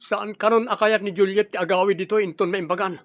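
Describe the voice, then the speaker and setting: A man's voice: high-pitched, steady tone, fairly quick. A man playing a worried, money-minded middle-aged father in an Ilocano radio drama. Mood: agitated. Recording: dull, lo-fi audio.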